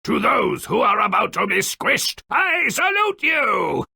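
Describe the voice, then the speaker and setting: A man voicing a sardonic, grotesque little dwarf storyteller in a video game, gleefully malicious and melodramatic, with a raspy, gravelly voice and a sneering, mocking delivery. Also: high voice, big pitch swings, unhurried, bright, forceful tone, dry recording, raised voice, heavily acted. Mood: rallying, gleeful. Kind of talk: theatrical video game character narration.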